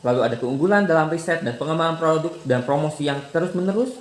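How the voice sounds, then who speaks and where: A man's voice: a little high, quick pace, slight background noise. A man giving an academic presentation. He explains in a lecture tone, answers his own rhetorical questions and lists points in order. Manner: asks himself rhetorical questions and answers them; lists points one after another.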